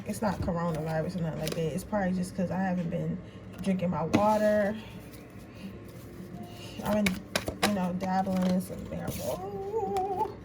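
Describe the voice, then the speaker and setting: A woman in her twenties with a raspy voice, chatting casually and rambling informally as she gives a tarot reading. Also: a little high. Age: 20s. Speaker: tarot reader. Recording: noisy room, voice a bit far from mic.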